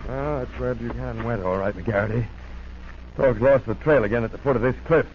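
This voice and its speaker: A man's voice: medium pitch, brisk, natural voice. An actor in a 1940s radio drama playing an urgent, authoritative lawman who leads a hound posse and barks orders. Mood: grave. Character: commanding, level-headed lawman leading a hound posse. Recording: noisy, muffled 1940s radio recording.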